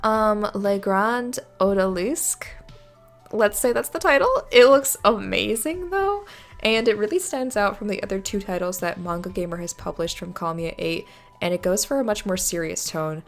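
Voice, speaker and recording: A young woman talks casually, like a video creator sharing her picks. She is chatty and enthusiastic, with an informal delivery at a medium pitch. Music plays behind her voice. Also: expressive, moderate pace. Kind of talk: casual video commentary on games. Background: background music.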